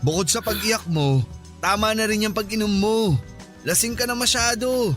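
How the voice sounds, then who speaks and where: A man's voice: high voice, normal speed, a bit bright, faint music behind. An actor in a Filipino radio drama playing an insistent, lovesick man who coaxes and pleads with exasperation. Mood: exasperated. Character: insistent, lovesick male friend pursuing married woman.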